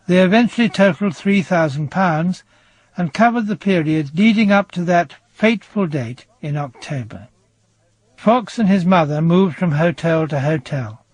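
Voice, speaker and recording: An actor playing an English prosecution barrister, husky and formal, setting out a case deliberately in a radio drama. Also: male voice, fairly high pitch, no echo. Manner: formal, deliberate courtroom delivery. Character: measured male prosecution barrister laying out a murder case. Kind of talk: radio crime drama acting.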